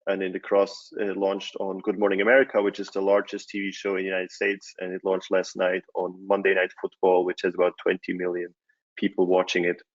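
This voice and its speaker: A man from Switzerland in his forties giving a conference talk, relaxed, with many fillers and restarts. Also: sits low, fairly quick, lo-fi sound. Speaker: conference speaker, company CEO. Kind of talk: presentation talk.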